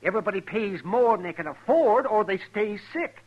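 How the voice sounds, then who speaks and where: A cranky old frontier doctor in a radio western. The voice sits high and jumps around with biting sarcasm. The 1950s recording is dull and narrow. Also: male voice, lively intonation, a little fast, breathy edge. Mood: scornful.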